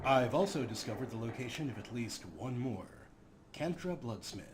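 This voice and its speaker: A man's voice: mid-range voice, expressive, normal speed, noisy background. A man hosting a playful let's play, with a wry, mock-grandiose way of commenting. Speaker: gaming video host.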